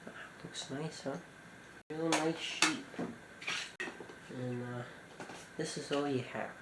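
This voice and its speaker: A man giving an informal show-and-tell commentary as a collector, talking in short, halting phrases. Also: a little high, steady tone. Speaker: cassette collector presenting a collection. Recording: echoey room with clear background noise.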